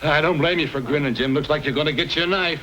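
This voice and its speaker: An actor playing a gruff, scornful townsman with a folksy, wry manner in an old-time radio western. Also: fairly high pitch, quick pace, sharp tone, a little hiss or hum. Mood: amused.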